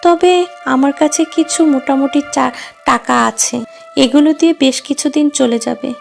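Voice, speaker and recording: Woman voicing a high-pitched, plaintive cartoon working mother. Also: normal speed, vocal fry. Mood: worried. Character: hardworking, worried animal housemaid mother. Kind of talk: children's cartoon voice acting. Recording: music under the voice.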